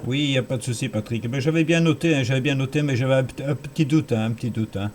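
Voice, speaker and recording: A man's voice: medium pitch, fast, darkish tone, a little hiss or hum. A man with a Belgian French accent talks casually as a radio operator, often repeating words for emphasis. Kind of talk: casual two-way radio chat.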